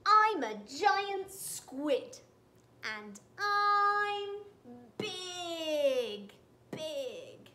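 A woman voicing a boastful, excitable giant squid from a picture book, in proud singsong declarations. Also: very high-pitched, extremely slow, reverberant, heavily acted.

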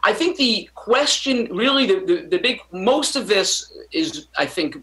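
A man's voice: sits high, sing-song, a little slow, a bit bright, poor audio. A man in his thirties, a physician, answering news interview questions over a video call from a home study, hedging with many ums and restarts. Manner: hesitant, many fillers and restarts. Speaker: physician guest commentator.

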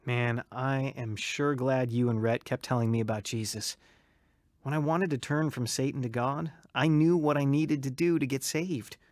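A man's voice: mid-range voice, fairly even intonation, moderate pace, no echo, studio quality, lightly acted. An actor playing an earnest, eager young man turned pastor in a dramatized radio testimony. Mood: eager, amazed. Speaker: narrator and lead actor.

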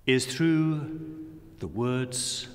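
Slow-speaking man in an echoing hall. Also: medium pitch, animated. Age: middle-aged. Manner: solemn, measured, with long pauses between phrases. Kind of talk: reflective sermon and prayer. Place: large dim indoor room. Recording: long echoing reverb of a hall.

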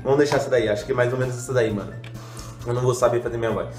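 A man's voice: medium pitch, steady tone, rapid-fire. A young man talks through a game in a loose, slangy, rambling way, poking fun at himself as he goes. His voice is a little stuffy from a cold. The room around him is echoey, with faint music playing underneath. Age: young adult. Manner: slangy, rambling, self-mocking. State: slightly congested from a cold. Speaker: gaming youtuber. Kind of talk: casual gameplay commentary.

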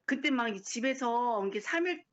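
A middle-aged woman recalling her illness as a former patient in a casual interview, talking haltingly with many fillers. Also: a little high, expressive. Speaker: recovered patient. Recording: slightly dull recording.

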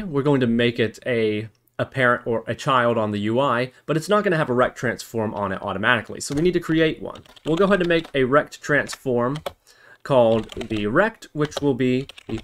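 A man's voice: mid-range voice, fairly bright tone. A man narrating a programming tutorial, explaining step by step and thinking aloud as he goes. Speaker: tutorial host.

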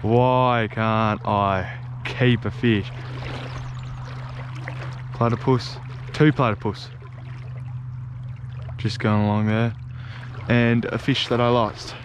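A young man with an Australian accent narrating a casual fishing vlog in a laid-back, halting way. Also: fairly low pitch, steady tone, slight background noise. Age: young adult. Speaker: fishing vlogger. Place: outdoors on a grassy riverbank. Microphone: body-worn or handheld action camera.

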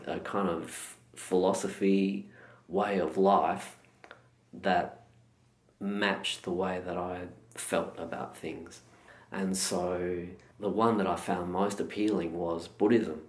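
A middle-aged Australian man with a low voice speaks unhurriedly and thoughtfully, as a guest telling his story. The sound carries an echo from the room around him. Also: darkish tone. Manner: slow, measured and thoughtful. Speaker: meditation teacher, guest. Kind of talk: reflective interview answers. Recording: echoey room.

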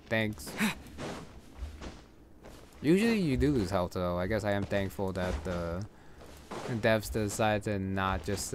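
A man giving casual, low-key gameplay commentary, thinking aloud as he plays. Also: a little hiss or hum. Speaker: gamer recording a playthrough. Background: game music.

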